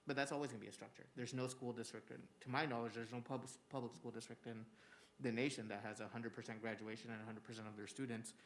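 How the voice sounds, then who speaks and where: A man who is a school district administrator, giving a formal report to a school board in an earnest, steady way with many filler words. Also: medium pitch, fast, slight background noise. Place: public school board meeting room.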